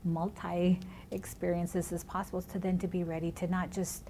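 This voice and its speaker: Low, mellow-voiced woman host. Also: flat delivery, moderate pace, a little hiss or hum. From California, raised in Minnesota. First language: Spanish. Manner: reflective, many restarts and "you know"s. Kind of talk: conversational interview about education.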